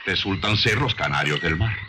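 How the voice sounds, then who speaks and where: A man narrating a scripted science documentary in a measured, formal, didactic manner on an old soundtrack. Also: sits low, noisy background.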